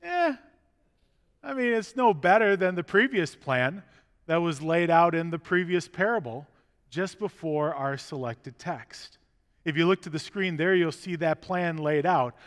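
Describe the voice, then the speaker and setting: Pastor with a high voice in a reverberant church. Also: male voice, expressive, a little slow, fairly bright tone. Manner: conversational preaching with rhetorical questions; gestures while walking about the chancel. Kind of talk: sermon. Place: large church sanctuary, indoors. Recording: long reverb of a church hall.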